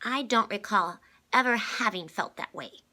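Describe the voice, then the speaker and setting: Storybook little girl, played by a woman: stubborn, blunt, grumpy, raised pitch, emphatic. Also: normal speed, pushed and bright, natural voice.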